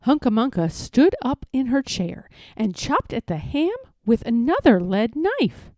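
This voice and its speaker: A woman reading a children's story aloud in an expressive, measured, emphatic storytelling manner. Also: fairly high pitch, no room sound, studio quality. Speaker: storybook reader.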